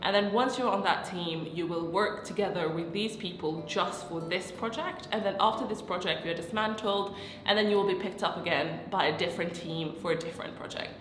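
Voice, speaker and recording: A woman's voice: lowish voice, brisk. Congested nasal young woman explaining things. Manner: chatty and explanatory, lists points. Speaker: consultant and vlogger. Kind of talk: casual explainer talk to camera. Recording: roomy echo with a long tail and faint music.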